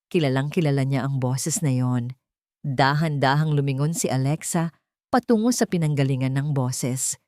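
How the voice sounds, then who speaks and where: A woman's voice: a bass voice, normal speed, a little mellow, dry recording, studio quality. A woman reading a scripted romantic drama as a storyteller.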